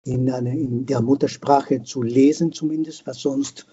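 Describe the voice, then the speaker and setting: A man's voice: mid-range voice, steady tone, a little slow, mellow, husky, highs cut off. An older man, a non-native speaker with a foreign accent, giving a reflective interview and repeating words as he searches for phrasing. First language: not German; a non-native speaker of German. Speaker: podcast guest, veterinarian and hunter.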